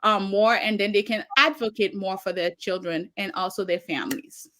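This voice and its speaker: Woman, Haitian accent, middle-aged, earnest, passionate, repeats for emphasis, mid pitch. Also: moderate pace, bright, forceful tone, slight background noise. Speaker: community advocate, guest. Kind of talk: casual conversation.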